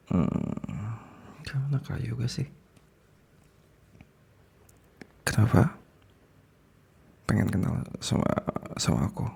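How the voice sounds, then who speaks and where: A man acts out a smitten, possessive boss in an intimate ASMR roleplay, with an Indonesian accent. His voice is very husky and breathy and moves slowly, with sighs and repeated words. The pitch swings expressively between murmurs and fuller speech. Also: very animated, warm tone, exaggerated voice. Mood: probing, flirtatious. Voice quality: breathy, gravelly. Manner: halting, sighing, repeats words, slips into whispers. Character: possessive, flirtatious male boss smitten with an employee.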